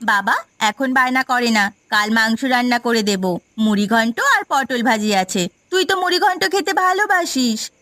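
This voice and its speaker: Woman as a high-voiced, demanding, doting wife. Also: animated, moderate pace, fairly bright tone, no room sound. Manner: insistent, indulgent. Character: demanding, doting, spoiling younger wife and mother. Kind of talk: Bengali fairy-tale cartoon dubbing.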